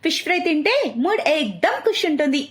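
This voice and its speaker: A woman's voice: high-pitched, big pitch swings, a little fast, fairly bright tone, pitched above the performer's own voice. A woman voicing a caring, eager young wife and daughter-in-law in a cartoon story. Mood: reassuring.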